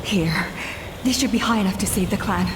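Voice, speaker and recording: A woman's voice: mid-range voice, fairly quick, heavy background noise, natural voice. An actress playing a proud, severe young female warrior in a video game, speaking in an imperious, formal and dramatic way. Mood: grimly resolved.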